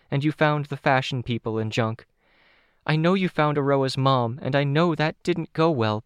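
A man's voice: mid-range voice, clean tone, no room sound, studio quality, voice pushed up, natural voice. A man voicing a plucky, blunt but sentimental young girl in an audiobook, earnest and cheeky.

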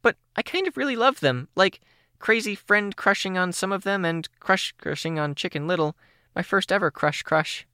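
A reader voicing a chirpy, talkative young teen girl who speaks in rushing bursts of words, in an audiobook. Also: medium pitch, bright, forceful tone, smooth, no echo, studio quality, voice pushed up, natural voice. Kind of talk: audiobook character voice.

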